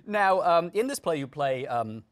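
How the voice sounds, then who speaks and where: A man's voice: on the high side, very animated, measured pace, no room sound, studio quality. A man with an American accent co-hosting a talk show, interviewing guests conversationally and effusively.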